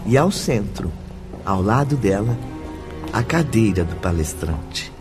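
A man narrating a radio drama in a literary, expressive, scripted manner. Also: fairly low pitch, slow pace. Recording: music behind the voice.

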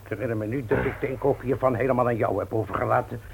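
An actor playing a cautious older shopkeeper uncle in a 1960s radio drama, hesitant and admonishing, with a husky, gravelly voice. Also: male voice, mid-range voice, fairly even intonation, rapid-fire, a little hiss or hum, lightly acted. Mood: cautious, reproving. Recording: old radio play recording.

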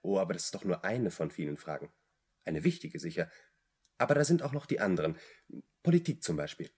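A self-important young dropout in a radio drama. His voice is fairly low and rough-edged, with very animated intonation. He broods and argues pompously. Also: brisk, exaggerated voice. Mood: earnest, probing. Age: young adult. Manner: pompous, argumentative. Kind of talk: German radio play acting.

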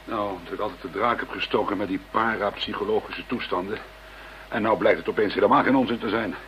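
An actor playing a courteous, measured male investigator in a Dutch radio drama. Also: on the low side, a bit bright, close to an everyday voice. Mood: conciliatory. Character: courteous male investigator, sceptical but open-minded. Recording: muffled, noisy old radio drama recording.

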